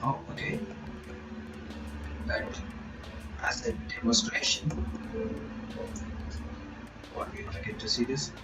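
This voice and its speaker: A man reads a visual novel aloud and comments on it. He stumbles over some words and breaks off for quick asides. His voice sounds roomy, with clear game music playing behind. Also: medium pitch, steady tone. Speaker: reaction channel host.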